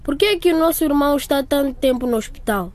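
A woman's voice: high voice, animated, fast, darkish tone. A woman voicing a stubborn, whiny little brother in a radio drama, insistent and hungry. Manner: whining, insistent.

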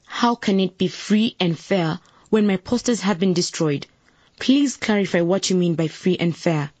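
An actress playing a determined, idealistic young political candidate in a radio drama. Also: female voice, lowish voice, moderate pace, darkish tone, slightly rough, no room sound. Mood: indignant. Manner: earnest, assertive, formally polite. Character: determined, idealistic young woman running for civic office.

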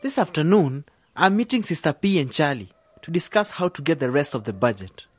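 A lively, swooping voice of a cocky young man in a radio drama. He is excitable and slangy, talking like a flashy DJ who loves his own show. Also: medium pitch, brisk, slightly rough. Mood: casual. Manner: showy, slangy, animated. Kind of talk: radio drama dialogue with hyped DJ patter.

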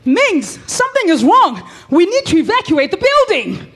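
A brisk radio producer acted by a woman for a radio drama. The voice is high and very lively in pitch, with a firm, bossy edge. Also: full character voice. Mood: urgent. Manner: bossy, no-nonsense. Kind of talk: live radio drama acting.

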